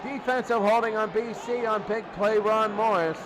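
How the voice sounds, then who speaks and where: A man's voice: high-pitched, moderate pace. A man doing live play-by-play American football commentary in short clipped phrases, repeating his calls as he follows the play. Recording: noisy recording with loud crowd din. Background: loud crowd noise.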